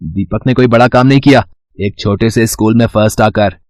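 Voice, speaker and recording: A man's voice: on the low side, brisk, a little mellow, no room sound, clean studio sound, lightly acted. A man voicing a haughty, boastful rich schoolboy in a children's story. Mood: scornful. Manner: haughty, sneering.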